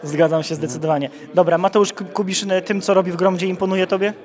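A man's voice: high-pitched, level tone, fast talker, darkish tone. A man, working as a sports reporter and asking questions conversationally into a handheld microphone. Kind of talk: conversational interview.

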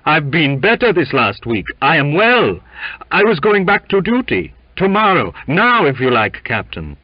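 A man plays a sick sailor in a storybook reading, gasping and petulant. His voice jumps high and swings widely as he insists and defies. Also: huge pitch swings, a little slow, dry recording, lo-fi sound, full character voice. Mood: eager, insistent. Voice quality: gasping baritone. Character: ailing, frightened, defiant sailor, petulant and eager.

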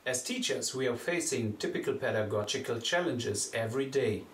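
A young man, a teacher from Germany, giving a scripted presentation in an earnest, steady way. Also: mid-range voice, moderate pace, slight background noise. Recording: echoey room, voice a bit distant from the mic.

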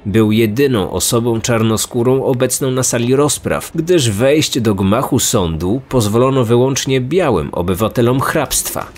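A man's voice: medium pitch, animated, normal speed, hardly any pauses, a little mellow. A man reading a scripted true-crime narration as a podcast narrator. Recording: music under the voice. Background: background music.

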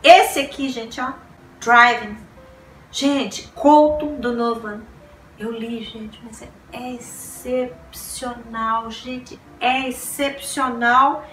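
High-pitched woman in her 40s gushing about books, bright voice, roomy echo, a bit far off. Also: steady tone, extremely slow. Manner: gushing, exclaims and repeats words. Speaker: book vlogger. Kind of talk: casual chat to camera. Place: small decorated room indoors. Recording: roomy room sound, a bit distant, faint hiss.

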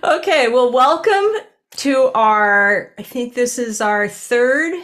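A woman's voice: fairly high pitch, lively intonation, takes it slowly, a bit bright. Chatty older woman on a video call. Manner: chatty, earnest, gestures with hands while talking. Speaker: animal communicator and podcast host. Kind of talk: casual video-call podcast chat. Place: home room with bookshelves. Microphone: webcam on a video call.

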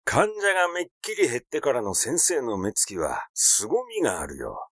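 A man's voice: medium pitch, wildly swooping pitch, normal speed, fairly bright tone, dry recording, clean studio sound, full character voice. A man voicing a cynical, blunt and grumbling male character in a mystery audiobook. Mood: sardonic.

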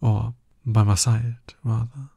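A man playing a husky, smug, seductive villain. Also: lowish voice, fairly even intonation, mellow, no room sound, muffled recording, exaggerated voice. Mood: teasing, seductive. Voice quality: husky, breathy. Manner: slow, condescending, teasing. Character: smug, seductive, dominant male villain courting his hero nemesis. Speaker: voice actor in an ASMR roleplay. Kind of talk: ASMR roleplay monologue.

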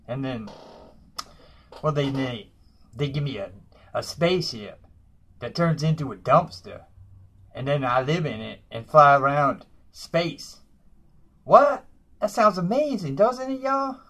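A man's voice: medium pitch, lively intonation, measured pace, pauses often, a bit bright. A man playing a boastful, broke woman who lives in a dumpster, tacking "y'all" onto nearly every phrase in a comedy monologue. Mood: brash.

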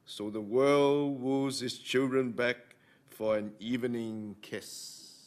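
An older man recites poetry in a meeting room. His voice is average in pitch and his reading is slow. He speaks into a podium microphone. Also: fairly even intonation. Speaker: amateur reader in a reading-aloud group. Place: library meeting room, indoors.

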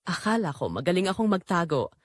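A woman's voice: on the low side, sing-song, fairly quick, no echo, studio quality. A woman playing a guilt-ridden married mother confessing a secret affair, narrating in the first person in an emotive, confessional manner. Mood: guilty, troubled.

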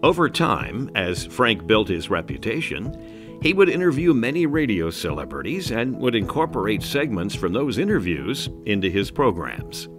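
Guy narrating a documentary, kinda low voice, music playing under him. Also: big pitch swings, moderate pace. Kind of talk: scripted narration.

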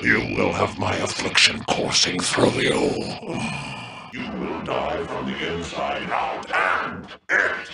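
Evil plague program villain for a game, slow taunting menace, super echoey processed voice. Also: male voice, low voice, expressive, gravelly, recorded from a distance, exaggerated voice. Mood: cold menace. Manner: drawn-out, taunting, theatrical menace. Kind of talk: video game villain voice lines.

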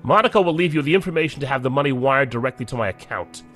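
A man's voice: medium pitch, animated, rapid-fire, a bit bright, natural voice. A man plays a proud middle-aged scientist in an audio drama. His indignant, clipped voice rises and falls animatedly. Music plays underneath.

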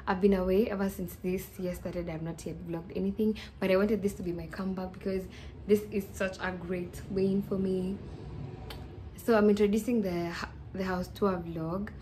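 A woman's voice: medium pitch, steady tone, normal speed, dark tone, slight background noise, low-quality recording. A young woman in her twenties talking to her phone camera in a small empty apartment, chatty and upbeat, thinking aloud with small restarts. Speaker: vlogger. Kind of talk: casual vlog to camera.

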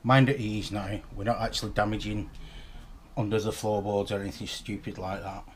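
A middle-aged man with a Scottish accent vlogs about his day, holding the camera at arm's length. His voice sits a bit low and his talk is chatty and rambling. A faint background noise runs under the recording. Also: steady tone, fairly quick. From north east Scotland. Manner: chatty, rambling, self-filming. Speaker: vlogger. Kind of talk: casual vlog chat to camera. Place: home living room and outdoors, parked car. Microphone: handheld camera at arm's length.

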